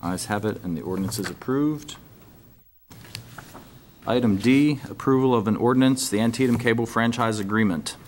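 A man chairing a city council meeting, briskly calling motions and votes into a desk microphone. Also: medium pitch, a little mellow, a little hiss or hum. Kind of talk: formal meeting procedure. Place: council chamber.

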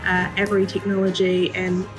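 A woman's voice: lowish voice, monotone, normal speed, music in the background, rough recording. Articulate New Zealand woman, level tone. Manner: articulate, policy-style phrasing. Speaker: farm policy worker. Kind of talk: interview answers. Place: indoor room with large windows.

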